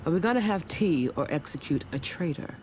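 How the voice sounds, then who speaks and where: An actress playing a cocky, sarcastic synthetic sniper in a video game, sly and dry in delivery. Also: female voice, deep voice, lively intonation, fairly quick, noisy background. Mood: impatient, sardonic. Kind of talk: video game character acting.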